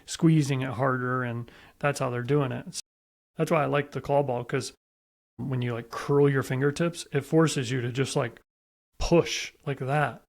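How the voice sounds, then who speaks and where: A man's voice: medium pitch, steady tone, a little slow, vocal fry. A man hosting a podcast, chatting casually as he interviews a guest about a sport. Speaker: podcast host.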